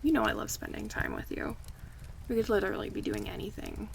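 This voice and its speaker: A woman playing an affectionate, playful close friend in a casual, relaxed ASMR roleplay, chatting and teasing in a conversational way. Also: medium pitch, brisk, gravelly, slight background noise. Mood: warm, interested.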